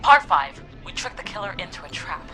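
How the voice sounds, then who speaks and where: A woman voicing a gutsy, nervy teenage girl who leads an escape plan in a video game, excitable and rallying. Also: lowish voice, a little fast, crowd noise. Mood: determined, upbeat. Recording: music behind the voice.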